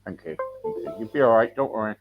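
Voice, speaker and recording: A man's voice: on the low side, animated, fast talker, over music. A man from England hosting a podcast, rambling in a casual, loose way as he greets listeners and introduces his guest. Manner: rambling, full of fillers and restarts. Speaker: podcast host. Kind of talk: casual radio-style interview hosting. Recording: slightly dull, lo-fi sound.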